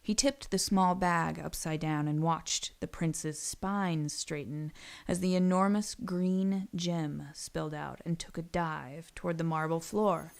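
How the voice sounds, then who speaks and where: A woman's voice: sits low, fairly even intonation, a little slow, gravelly. A woman narrating a scripted audio story.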